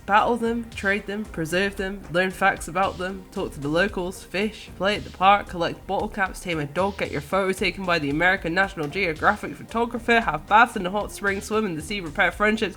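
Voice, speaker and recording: A woman's voice: medium pitch, fairly quick, few pauses, fairly bright tone. A woman giving an enthusiastic, earnest personal video game review, partly scripted and partly conversational. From countryside. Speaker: podcast guest reviewer. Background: music.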